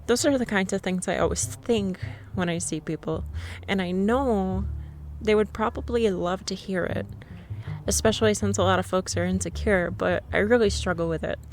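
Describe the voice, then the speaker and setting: A young woman in a scripted ASMR roleplay, playing a shy, nervous stranger who rambles and laughs nervously in a soft voice. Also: medium pitch, moderate pace, slight background noise.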